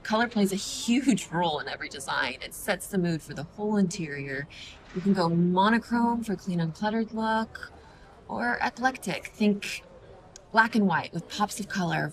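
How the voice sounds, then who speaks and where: A woman's voice: mid-range voice, close to an everyday voice. An actress playing a nervous, earnest woman interior designer who rambles awkwardly in an audio romance drama.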